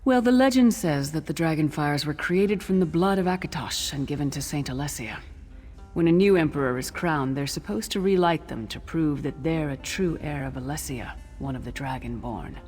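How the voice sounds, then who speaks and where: An actress playing a battle-worn, earnest female warrior companion in a fantasy video game, telling things gravely. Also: low-pitched, expressive, normal speed, darkish tone, faint music behind. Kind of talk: video game character dialogue.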